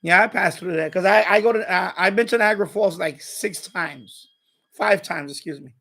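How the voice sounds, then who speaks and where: A middle-aged man talks casually to his livestream viewers in a fairly high voice with a creaky edge. He rambles along, circling back and repeating phrases as he goes. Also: moderate pace, sharp tone. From Puerto Rico. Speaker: live streamer, radio hobbyist.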